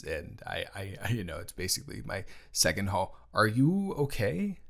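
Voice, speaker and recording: A man playing a caring, awkward twenty-one-year-old best friend in an intimate audio roleplay, with halting, rambling, casual speech. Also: sits low, vocal fry, a bit bright, heavily acted. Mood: flustered, concerned. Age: young adult.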